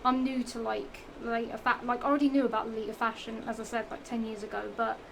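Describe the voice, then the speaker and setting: Young woman, Norfolk accent, fairly high voice, rambling chatty vlog talk, audible background noise. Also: level tone, fast, gravelly. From England. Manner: rambling, self-repeating, giggly, with many fillers and restarts. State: slightly strained voice from the heat. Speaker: vlogger. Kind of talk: casual chatty product show-and-tell. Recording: noisy home recording.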